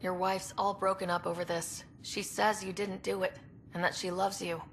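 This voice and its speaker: An actress playing an earnest, determined young space explorer in a video game, speaking directly and sympathetically. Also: female voice, mid-range voice, little pitch movement, moderate pace, faint music behind, lightly acted. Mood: sympathetic. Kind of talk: video game cutscene acting.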